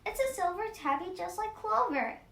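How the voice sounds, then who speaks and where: A woman's voice: expressive, moderate pace, creaky, pushed and bright, heavily acted. A woman voicing an eager, polite little girl in a storybook read-aloud. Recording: roomy echo, faint rumbling noise.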